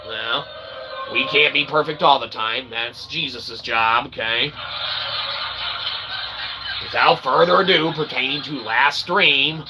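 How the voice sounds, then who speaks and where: A man's voice: medium pitch, slow, long pauses, background noise. A man hosting a livestream, rambling through a monologue and checking in with "okay?" and "all right". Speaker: livestream host.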